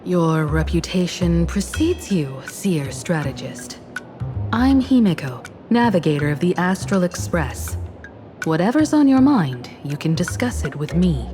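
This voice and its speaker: A woman's voice: fairly low pitch, very animated, unhurried, mellow. A woman playing a composed, protective navigator in a video game, with a poised, polite and direct delivery. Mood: gracious. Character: composed, protective adult female starship-train navigator. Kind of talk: English video game voice acting. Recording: music under the voice.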